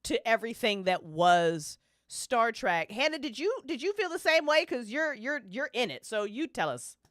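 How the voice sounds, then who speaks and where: A woman's voice: a little high, very animated, moderate pace, fairly bright tone, no echo, clean studio sound. A woman hosting a casual podcast chat, affirming and emphatic. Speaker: podcast host. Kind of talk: casual chat about a TV show.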